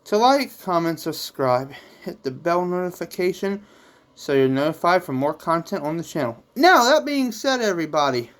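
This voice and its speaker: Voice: medium pitch, very animated, normal speed. A vlog host who makes manga, talking casually and in a rambling way to viewers, with theatrical touches. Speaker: YouTube host and manga creator.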